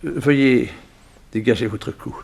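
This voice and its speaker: An actor playing a nervous underworld surgeon in a 1960s French radio drama, hesitant and soothing, with noise on the recording. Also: male voice, medium pitch, measured pace, natural voice. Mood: nervous, coaxing. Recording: clearly noisy old radio recording.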